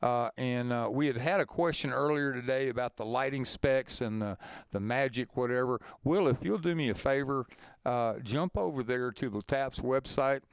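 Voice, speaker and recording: A man's voice: mid-range voice, normal speed, smooth, dry recording. A man presenting an informal instructional webinar.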